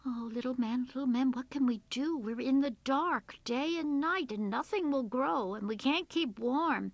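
A woman's voice: on the high side, steady tone, measured pace, creaky, dry recording. A woman voicing frightened villagers who plead urgently for help in a plaintive folk-tale voice. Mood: anxious pleading. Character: frightened villagers begging wise men for help.

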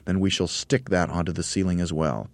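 A man voicing an excitable, bossy monkey leader in a storybook, shouting urgent commands. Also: deep voice, brisk, no echo. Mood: frantic, urgent.

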